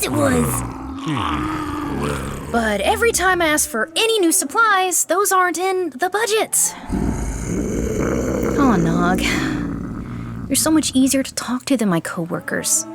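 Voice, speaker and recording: Nervy young female animal keeper, high pitch, very lively intonation, fantasy audio drama. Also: background noise, full character voice. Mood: playful, cooing. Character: flustered, earnest young female beastkeeper, socially awkward.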